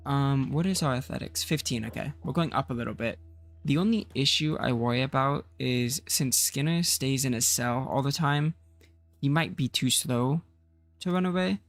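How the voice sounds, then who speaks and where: Male streamer, tired and slightly rough, casual thinking-aloud commentary, creaky edge. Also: mid-range voice, dry recording. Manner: rambling, thinking aloud with fillers. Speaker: game streamer. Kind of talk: casual let's-play commentary.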